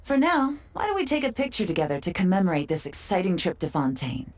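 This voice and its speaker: Casting: urbane female spy for a video game, lower register, unhurried, self-assured, playfully teasing. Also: sing-song. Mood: warm, teasing. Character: poised, urbane female intelligence agent, relaxed and teasing. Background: background music.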